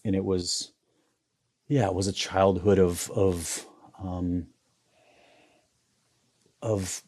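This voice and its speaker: A man in his forties with a low, dark and mellow voice. He talks in a flat, even way, halting and restarting with lots of fillers as he thinks aloud in an interview. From Iowa, US Midwest. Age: 40s. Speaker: photographer guest. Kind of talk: reflective interview conversation.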